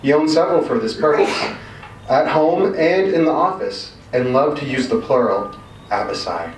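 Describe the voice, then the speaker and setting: A man reading a short story aloud expressively, with wry, measured and emphatic delivery. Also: fairly high pitch, animated. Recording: roomy, distant mic, faint background noise.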